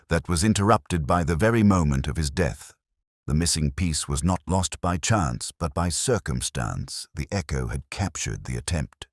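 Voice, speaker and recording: Audio drama lead: male actor as reflective, brooding detective narrator, middle-aged, very deep voice with expressive rises and falls, literary and measured. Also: dry recording, clean studio sound, exaggerated voice.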